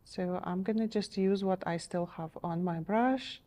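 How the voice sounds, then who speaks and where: A middle-aged woman teaching a step-by-step painting lesson in a TV studio, gentle and encouraging, her voice a little strained as she is losing it. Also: medium pitch, measured pace. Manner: gentle, encouraging, checks in with "okay?". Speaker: painting instructor.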